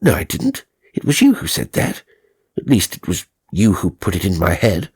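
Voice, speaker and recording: A man voicing a good-natured but irritable gentleman spirit, proper and emphatic, in a ghost story. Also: sits low, huge pitch swings, normal speed, slightly rough, heavily acted. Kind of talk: dramatised audiobook ghost story.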